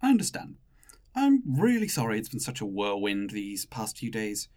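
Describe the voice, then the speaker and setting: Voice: medium pitch, wildly swooping pitch, normal speed, darkish tone. An actor in an audio drama playing a polite, reserved time-travelling train captain with formal, slightly awkward phrasing. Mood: kind.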